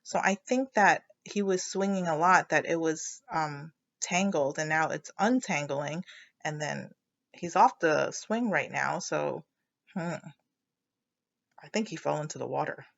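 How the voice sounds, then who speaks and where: A woman's voice: low voice, a little slow, creaky, highs cut off. A woman teacher leading a children's read-aloud lesson, explaining as she thinks aloud and prompting listeners with questions.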